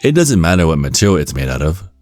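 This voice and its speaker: A man giving a scripted ASMR narration in a measured, instructional storytelling manner. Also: a bass voice, very animated, darkish tone, no room sound, soft background music. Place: close, dry recording with music behind.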